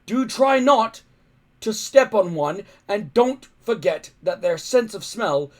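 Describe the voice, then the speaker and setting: Man acting a loud, commanding Viking chief. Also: sits high, expressive, slow pace, voice pushed up. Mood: bossy. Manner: commanding, emphatic shouting. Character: booming, bossy Viking chief giving orders.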